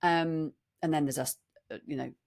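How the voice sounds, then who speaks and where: A woman's voice: low-pitched, fairly even intonation, moderate pace, creaky, a little mellow. A British woman co-hosting a podcast, telling anecdotes casually with wry, self-deprecating humour. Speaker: podcast co-host.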